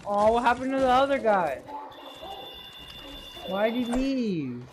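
A man's voice: very high-pitched, big pitch swings, takes it slowly. Through noisy game voice chat with clicks comes a teenage male gamer. He talks to his teammates casually and in slang, with a trash-talking streak and short, repeated callouts.

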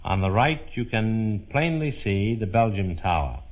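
Formal American man, low voice, old radio. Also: expressive. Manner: formal, measured, declamatory. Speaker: fair official acting as tour guide. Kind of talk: scripted 1930s radio presentation. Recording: dull, muffled 1930s radio broadcast.

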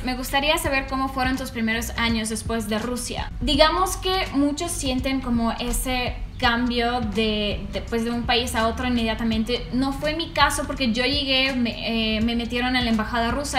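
A young woman from Russia with a Russian accent, answering viewer questions in a casual, animated vlog, gesturing as she talks. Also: on the high side, moderate pace, hardly any pauses, echoey room, slight background noise. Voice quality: animated, gestures widely with her hands. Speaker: vlogger. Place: small room indoors.

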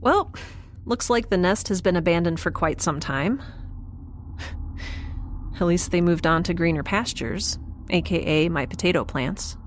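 Audio drama lead woman actor: thoughtful young female scientist in space, slightly low voice, conversational, light background noise. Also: lively intonation, unhurried, long pauses. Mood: curious. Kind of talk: naturalistic audio drama acting.

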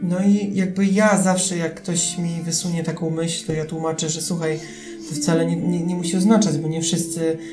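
A man's voice: on the high side, little pitch movement, normal speed, over music. A man chatting casually on a podcast as the invited expert, ironic and joking as he talks.

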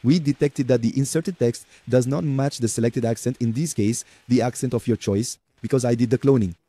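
A man with a Portuguese accent presenting a screen-recorded software tutorial, chatty and talking to viewers as folks with lots of okay and right. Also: medium pitch, brisk, breathy edge, no room sound.

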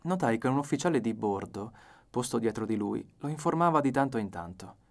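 Male audiobook reader, mid pitch, dramatic storytelling. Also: lively intonation, a little fast, creaky. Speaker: audiobook narrator. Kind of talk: dramatic scripted narration.

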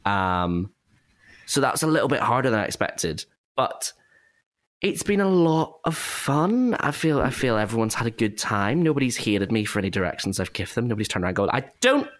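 A man's voice: mid-range voice, sing-song, fairly quick, no room sound. A man hosting a casual behind-the-scenes chat as a director, talking in a chatty, self-deprecating way. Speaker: director and actor hosting a cast discussion.

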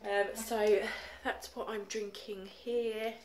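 A young woman vlogs from her living room, her phone held at arm's length. She talks slowly and chattily, full of ums and likes, and sounds a bit stuffy from a cold. Faint background noise sits under her voice. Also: medium pitch, level tone. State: congested with a cold. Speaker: makeup vlogger. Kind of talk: casual chatty vlog. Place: home living room, indoors.

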